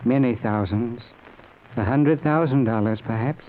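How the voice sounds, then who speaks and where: An actor playing a grave, patient Eastern yogi in an old radio drama, speaking in measured, formal phrases. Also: male voice, mid-range voice, phone-quality sound, close to an everyday voice. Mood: grave, coaxing. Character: grave, patient yogi, wise mystic teacher from the East. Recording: noisy, thin old radio broadcast recording.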